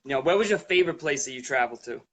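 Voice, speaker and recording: A man's voice: medium pitch, animated, racing, a bit bright. Rambling man hosting an interview. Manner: encouraging, rambling, many fillers. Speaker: show host. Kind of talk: casual interview talk.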